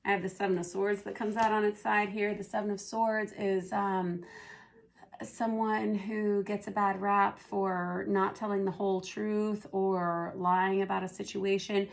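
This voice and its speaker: A hoarse woman who is losing her voice, doing a casual tarot reading and thinking aloud as she turns the cards. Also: medium pitch, level tone, measured pace. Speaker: tarot reader.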